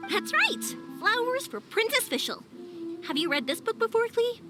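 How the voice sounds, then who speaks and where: Bubbly, high-pitched young woman. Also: very animated, normal speed, over music. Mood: excited. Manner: gushing, friendly, exclaiming. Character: bubbly, kind-hearted young woman who makes fireworks. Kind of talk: animated video game voice acting.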